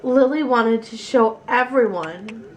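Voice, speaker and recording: A woman storyteller reading a children's picture book aloud with expressive, deliberate phrasing. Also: on the high side, low-quality recording.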